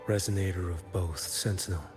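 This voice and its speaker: An actor playing a blind, watchful bodyguard in a video game, speaking in a measured, cryptic and formal way. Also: male voice, low-pitched, steady tone, close to an everyday voice. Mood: solemn, probing. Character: blind, solemn watchful male bodyguard and investigator. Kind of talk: scripted video game dialogue. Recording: long reverb, music underneath. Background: game music.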